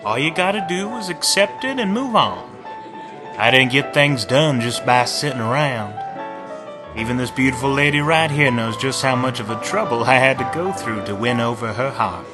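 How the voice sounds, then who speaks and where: A man's voice: mid-range voice, expressive, normal speed, close to an everyday voice. A man playing a brash, cocky young newlywed husband in a radio play, blunt and swaggering. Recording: music bed under dialogue. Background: music.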